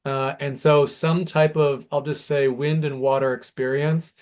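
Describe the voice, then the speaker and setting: American guy on a video call, kinda halting, lots of uh and um. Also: medium pitch, little pitch movement, a little mellow, clean tone. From United States. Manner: hesitant, many fillers. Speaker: app founder. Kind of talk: casual video call chat.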